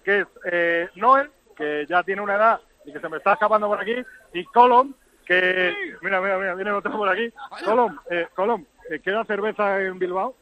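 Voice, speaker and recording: A high-voiced man reports live from among rugby fans. He chats and jokes as he grabs people to interview. The sound comes over a dull, lo-fi remote line. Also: animated, moderate pace. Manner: chatty, jokey, interviews fans on the spot. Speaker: field reporter at a rugby match.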